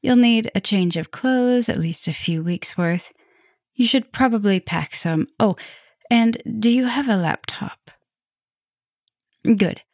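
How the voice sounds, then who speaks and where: A woman playing a caring, softly dominant vampire professor in an ASMR roleplay, talking gently and intimately to the listener, with breathy drops toward a whisper. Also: a little high, slow, pauses often, no room sound, clean studio sound. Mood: chatty, casual.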